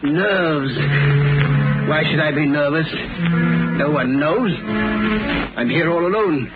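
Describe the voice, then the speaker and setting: An actor playing a nervous, gloating, unhinged villainous chemist muttering to himself in 1930s radio melodrama style. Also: male voice, on the high side, takes it slowly, over loud music. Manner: muttering to himself, repetitive, agitated. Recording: tinny 1930s radio recording.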